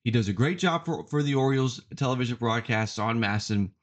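A man's voice: mid-range voice, animated. A man hosting a sports podcast, giving opinionated commentary in an emphatic way and repeating phrases for effect. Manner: emphatic, deliberate repetition for effect.

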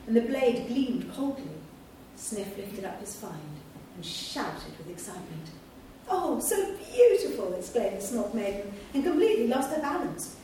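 A woman reading a children's storybook aloud at a live event, in a steady, clear storytelling manner. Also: fairly high pitch, a little hiss or hum. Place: large hall at a live event. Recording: echoey hall from far off.

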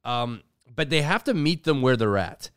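A man in his thirties co-hosting a casual podcast, talking in an animated way and acting out quoted voices. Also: medium pitch, moderate pace, smooth, no echo, clean studio sound. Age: 30s. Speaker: podcast co-host.

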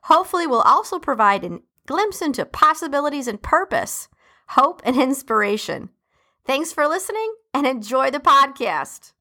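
A woman's voice: high voice, very animated, moderate pace. Chatty Detroit-accented woman podcast host. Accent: Detroit Midwest American. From Detroit, USA. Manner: warm, chatty, emphatic, with laughs and shout-outs. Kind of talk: casual solo podcast talk.

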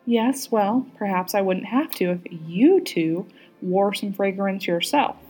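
A woman voicing a haughty, sharp-tongued, sarcastic Englishwoman in audiobook dialogue. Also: medium pitch, expressive, measured pace, slightly rough, soft background music. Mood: snappish. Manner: derisive, snorting, cutting.

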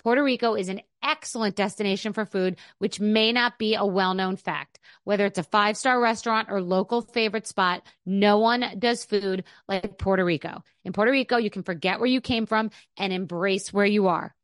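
Woman, mid-pitched, friendly ad read, dry close sound. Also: normal speed, few pauses, no echo. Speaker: ad reader. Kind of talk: read advertisement.

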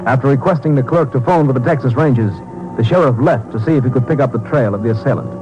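A man giving formal, measured scripted narration for a 1950s radio drama. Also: mid-range voice, poor audio. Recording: old radio broadcast with music bed.

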